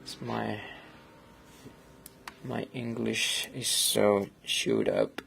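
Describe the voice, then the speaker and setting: Slow gravelly Colombian-accented man. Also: lowish voice, big pitch swings, a bit bright, faint music behind. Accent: Colombian Spanish. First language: Spanish. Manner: rambling and friendly, many fillers, reads chat aloud. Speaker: photographer hosting a live editing stream. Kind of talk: casual live-stream chat while editing.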